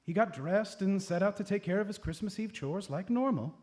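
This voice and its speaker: A man's voice: high voice, animated, a little fast, a little mellow. A man voicing a sweet, lovelorn older woman, earnest and fussy, in a live comedy radio play. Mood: earnest, troubled.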